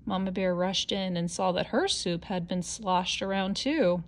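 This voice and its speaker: A woman narrates a children's storybook at a very slow pace. Her voice sits at an ordinary female pitch, following a scripted tale line by line. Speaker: storybook reader.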